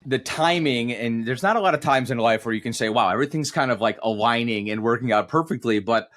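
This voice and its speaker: A man's voice: medium pitch, brisk, fairly bright tone. A man who works as a sports radio announcer, talking reflectively in a conversational interview and often circling back over his phrases.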